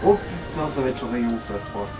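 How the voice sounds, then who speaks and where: A man's voice: medium pitch, very animated, quick pace, distant mic. Man co-commentating a game over music. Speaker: co-commentator watching the player. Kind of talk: casual gaming commentary.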